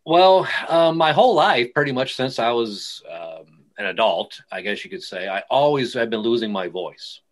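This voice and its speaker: A man's voice: mid-range voice, expressive, moderate pace. A middle-aged man, a pastor and guitarist, rambling through stories in a casual interview with many restarts.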